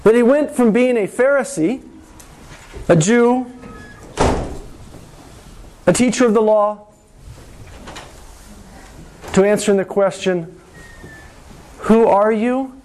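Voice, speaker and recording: A man preaching a sermon to his congregation, slow and deliberate, with dramatic pauses and repetition. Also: high-pitched, darkish tone. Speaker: pastor.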